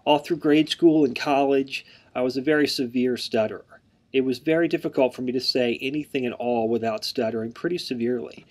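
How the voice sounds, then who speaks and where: A man in his thirties gives an earnest, plain introduction to an online class. His mild stutter shows in repeated words, and he fills his pauses with "um". His voice sits at an ordinary, middle pitch. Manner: mild stutter with word repetitions; frequent "um" fillers, earnest and plain. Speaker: instructor. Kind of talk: online course introduction lecture.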